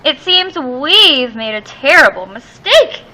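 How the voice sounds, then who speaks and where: A practical mother bulldog from a picture book, voiced by a woman reader. She speaks earnestly and emphatically in a high, bright voice, uneasy but sensible. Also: big pitch swings, takes it slowly, full character voice, poor audio. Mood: urgent.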